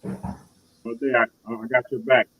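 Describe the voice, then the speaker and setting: A man's voice: medium pitch, level tone, takes it slowly, slight background noise. Rambling man host on a tinny line. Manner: rambling, repeats "you know what I mean". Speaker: interview show host. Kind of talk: casual interview chat.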